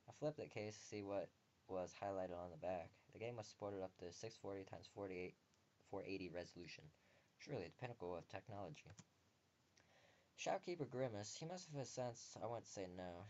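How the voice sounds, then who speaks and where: A young man with a deep voice reads visual novel text aloud for a gaming video. He delivers it expressively and drops in casual, wry asides. The recording is a little dull and lo-fi. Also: a little mellow. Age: young adult. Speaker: let's player reading a visual novel aloud.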